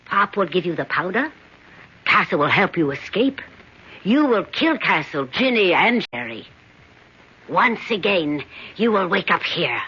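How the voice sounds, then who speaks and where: An actor playing a calm, superior alien being who speaks in cold, measured pronouncements, in a 1970s radio drama. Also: male voice, sits high, husky, exaggerated voice. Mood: coldly superior. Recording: dull old radio broadcast.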